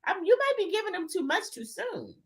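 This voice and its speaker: A woman's voice: very high voice, brisk. A woman co-hosting a casual chat show, teasing her co-host and pushing her to get on with the story. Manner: teasing, animated, prods for the story.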